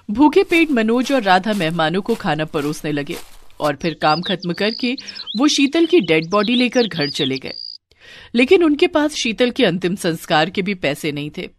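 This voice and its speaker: A woman's voice: mid-range voice, background noise. A woman narrating a scripted moral story.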